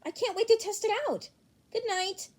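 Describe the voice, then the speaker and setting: A woman's voice: extremely high pitch, normal speed, voice pushed up. A woman voicing a shy, modest teenage boy with a mild, earnest and hesitant manner in a fan fiction reading. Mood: shy, grateful.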